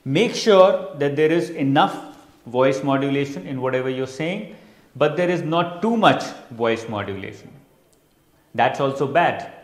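A young man with an Indian accent giving an instructional talk as a coach, stressing keywords emphatically and pausing deliberately. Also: medium pitch, animated. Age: young adult. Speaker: interview coach. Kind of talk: instructional lecture to camera. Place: indoor studio in front of a digital whiteboard. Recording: roomy echo.

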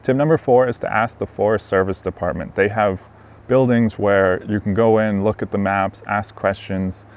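A man in his thirties giving casual camping tips straight to camera outdoors, relaxed and conversational with frequent ums. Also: lowish voice, normal speed. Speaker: motorcycle camping vlogger. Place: outdoors in a sunny wooded campsite.

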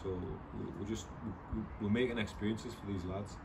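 A middle-aged man, a football first team coach, talking in a relaxed, proud, chatty way after a match, often restarting sentences. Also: on the low side, normal speed. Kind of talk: post-match interview. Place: football stadium stand, outdoors. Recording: distant outdoor camera audio with noise.

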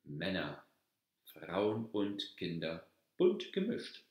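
Slow, low-voiced male storyteller in echoey room. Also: huge pitch swings. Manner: measured, deliberate read-aloud delivery. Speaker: storyteller reading a folk tale aloud. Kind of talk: scripted fairy-tale narration.

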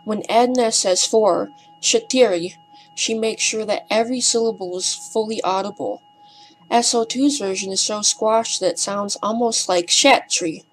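A woman reading scripted documentary narration in a careful, slightly hesitant and breathy way. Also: mid-range voice, a little hiss or hum, rough recording. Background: music.

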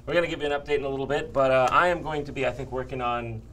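A man in his thirties hosting a casual behind-the-scenes video in an office studio, jokey and animated. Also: mid-range voice, racing, a little hiss or hum. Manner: animated, jokey, bursts into excited exclamations. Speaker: YouTube host.

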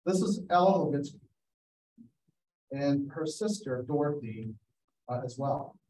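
A man's voice: medium pitch, animated, measured pace, warm tone. A man giving a storytelling slideshow presentation over a video call, relating anecdotes and quoting people as he goes. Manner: anecdotal, acts out quotes. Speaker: guest speaker, photographer. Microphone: video call, away from mic. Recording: roomy, distant-mic video call sound.

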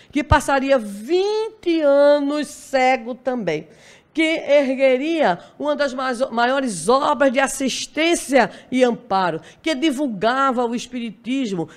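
A woman in her sixties from Brazil giving a spiritist lecture at a lectern, telling a life story with dramatic pauses. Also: sits high. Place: dim lecture hall stage at a lectern. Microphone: stand mic at lectern.

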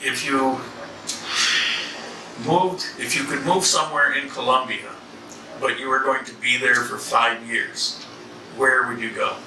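A man's voice: medium pitch, steady tone, a little slow, pauses often. A man asks questions from behind the camera in a casual, teasing way. His voice comes from some distance and bounces around an echoey room with background noise. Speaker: interviewer. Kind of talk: casual interview. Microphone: off camera, distant from the mic.

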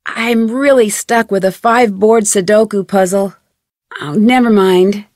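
An actress playing a curious, level-headed young female detective in an adventure game, speaking in an earnest, composed, clearly enunciated way. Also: medium pitch, steady tone, a little slow, a little mellow, dry recording, clean studio sound, natural voice. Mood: friendly, matter-of-fact. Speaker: voice actor, game protagonist. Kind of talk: scripted adventure game dialogue.

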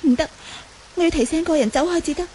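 An innocent young Buddhist nun with a Hong Kong Cantonese accent. Her voice is strikingly high, anxious and halting, played for old radio drama with faint noise in the recording. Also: warm tone. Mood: frightened. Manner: hesitant, anxious, pleading.

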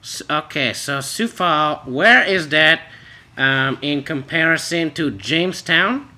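A man acts out a naive immigrant wife on a prank call. The voice is high and very sharp, slow and halting. She presses on insistently in broken English. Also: lively intonation, heavily acted. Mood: halting, earnest.

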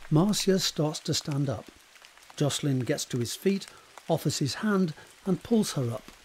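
A man's voice: fairly high pitch, animated, a little slow, a little hiss or hum. A man narrating scene directions for a period romance audio drama in a scripted present-tense style. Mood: descriptive.

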